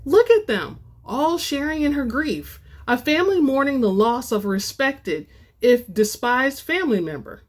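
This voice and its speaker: Woman acting out a scornful, scheming companion, pitched up. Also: lively intonation, moderate pace. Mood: cajoling. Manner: scoffing, cajoling, demanding. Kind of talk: dramatic audiobook reading.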